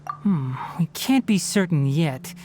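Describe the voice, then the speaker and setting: Composed young forest ranger, expressive. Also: male voice, on the high side, slight background noise. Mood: thoughtful, firm. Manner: measured, matter-of-fact, instructive. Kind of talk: video game voice acting. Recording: music behind the voice.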